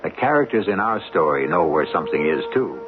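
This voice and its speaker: A man hosting a radio drama with theatrical, measured scripted narration in a storytelling manner. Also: low-pitched, big pitch swings. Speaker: host narrator. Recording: tinny old radio broadcast. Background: music.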